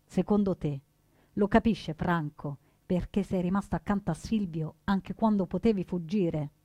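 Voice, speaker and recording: A woman delivering solemn, emphatic scripted narration for radio theatre, with deliberate dramatic phrasing. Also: lowish voice, warm tone, dry recording, lo-fi sound. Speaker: actress and narrator.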